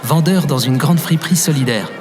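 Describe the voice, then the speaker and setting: A man's voice: fairly high pitch, brisk, a little mellow, faint music behind, lightly acted. A man playing a devoted, self-deprecating young man who tells his story in a fiction podcast. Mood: wry, nostalgic. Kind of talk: fiction podcast acting with narration.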